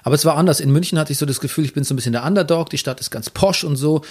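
A man's voice: fairly high pitch, rapid-fire, husky. A man from Bavaria with a Bavarian accent, telling stories in casual conversation with frequent restarts. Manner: chatty storyteller, frequent restarts.

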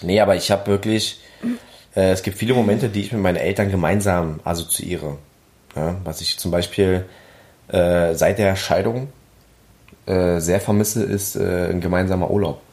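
A man's voice: deep voice, fairly even intonation, moderate pace, darkish tone. A young man with a Berlin accent chatting casually with his partner, brash and self-assured. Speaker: content creator.

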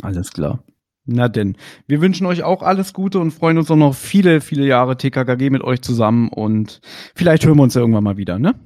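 A man's voice: medium pitch, expressive, fairly quick, darkish tone, no echo, studio quality. A man hosting a podcast, asking long rambling questions full of fillers. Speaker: podcast host. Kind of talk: casual interview questions.

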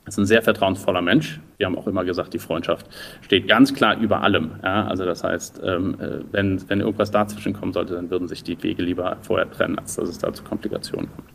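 A man's voice: sits low, steady tone, fast, gravelly, fairly bright tone, a little hiss or hum. A man in his thirties from the German Rhineland, answering interview questions conversationally in a thoughtful way, searching for words. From Düsseldorf, Rhineland, Germany. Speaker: interview guest, entrepreneur.

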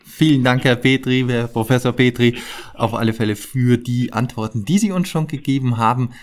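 Mid-pitched man, halting formal interviewer. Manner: halting, hesitant.

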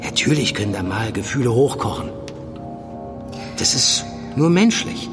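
Low-voiced, measured police mentor. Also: male voice, big pitch swings, music in the background. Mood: earnest, understanding. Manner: measured, deliberate, pausing between phrases. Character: seasoned male undercover police handler, controlled, probing mentor. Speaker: actor in fiction podcast. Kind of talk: naturalistic German audio drama acting.

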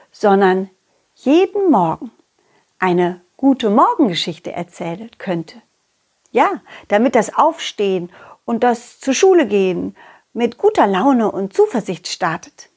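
A woman's voice: mid-range voice, slightly rough, heavily acted. A woman voicing a confident, loyal, cheerful dog who talks affectionately and encouragingly to children in an audio story. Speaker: puppeteer hosting a children's audio story podcast.

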